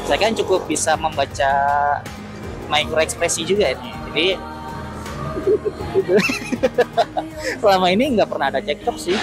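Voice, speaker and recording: A man chatting casually as a motorcycle taxi driver, explaining things with halting self-repeats over a helmet intercom while riding. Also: fairly high pitch, sing-song, music in the background. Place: outdoors, riding a motorcycle through city streets. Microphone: helmet intercom headset.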